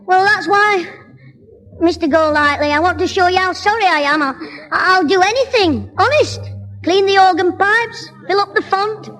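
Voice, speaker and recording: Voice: very high-pitched, moderate pace, a bit bright, poor audio. An actor playing a cheeky, grumbling Northern English schoolboy in a 1960s radio sitcom. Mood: wheedling. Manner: cheeky, indignant back-chat. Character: cheeky, grumbling working-class schoolboy, scheming and excitable.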